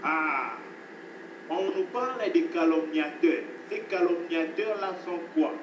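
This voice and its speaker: A man preaching with insistent repetition, his fairly high voice heard from across an echoing room. The recording is noisy and distant, with the space ringing around him. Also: slow pace. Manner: emphatic preaching, repeats phrases, addresses listeners as beloved. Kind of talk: sermon.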